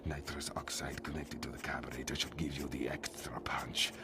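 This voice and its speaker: A man playing a wounded, weary but resolute army sergeant in a video game, halting and labored, with a strained, whispery voice. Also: very deep voice, flat delivery, very noisy. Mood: urgent, resolute. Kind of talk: dramatic video game cutscene acting. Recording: loud music behind the voice.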